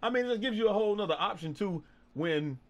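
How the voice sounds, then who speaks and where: A man hosting a casual sports chat show, animated and quick to shout out viewers. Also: high voice. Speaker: podcast host.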